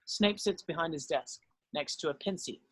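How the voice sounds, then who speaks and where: High-voiced man reading stage directions. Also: normal speed, fairly bright tone, poor audio. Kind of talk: scripted narration at a table read.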